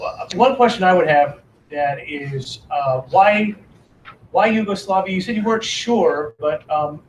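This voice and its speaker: A man's voice: on the high side, a little hiss or hum. A middle-aged man moderating a public talk, chatty and joking with the audience. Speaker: moderator, interviewer. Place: lecture hall before an audience. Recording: roomy hall, from a distance.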